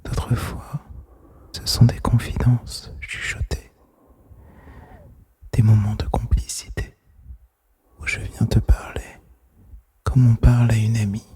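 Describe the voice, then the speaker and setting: Very slow whispering man, breathy and gentle. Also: medium pitch, flat delivery. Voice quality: breathy, mostly unvoiced whisper. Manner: slow, gentle, intimate, soothing. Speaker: host of a whispered relaxation podcast. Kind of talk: ASMR whispered guided relaxation monologue. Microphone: close microphone, made for headphones.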